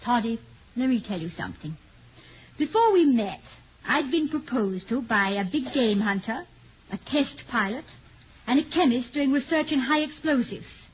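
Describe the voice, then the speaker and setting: An actress playing a poised, affectionate, dryly teasing wife of a college president in a 1950s radio comedy. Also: female voice, on the high side, animated, normal speed, husky. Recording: dull, hissy 1950 radio recording.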